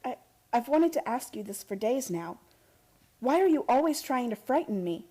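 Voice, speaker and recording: Woman playing an earnest, high-voiced young heiress. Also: very animated, moderate pace, warm tone. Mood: anxious. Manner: dramatic, urgent, earnest delivery. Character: earnest, impassioned young heiress of an island factory. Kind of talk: radio drama acting.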